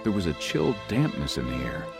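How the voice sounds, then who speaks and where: An actor playing a young male lawyer who narrates an eerie tale in a literary, measured, dramatic way. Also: sits low, huge pitch swings, gravelly, over music, exaggerated voice. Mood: uneasy. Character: young lawyer narrating his eerie stay, uneasy, athletic man. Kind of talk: scripted first-person ghost story narration.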